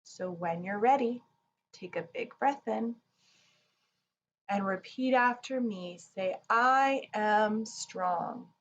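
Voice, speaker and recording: A woman speaking to children in a gentle, measured, soothing way, as in a read-aloud or guided relaxation. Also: mid-range voice. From Canada. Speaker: children's yoga teacher reading and guiding relaxation. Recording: slightly dull sound, faint noise.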